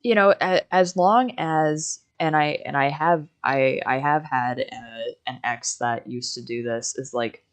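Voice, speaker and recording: A young woman in a casual livestream chat, telling stories in an animated way and acting out quoted conversations. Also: very deep voice, unhurried, few pauses. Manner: animated storytelling, quoting conversations.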